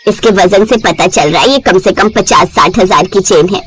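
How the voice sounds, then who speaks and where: A woman's voice: mid-range voice, normal speed, husky, faint music behind, pitched below the performer's own voice, lightly acted. A woman voicing an emotional, wronged older mother-in-law in a story. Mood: hopeful.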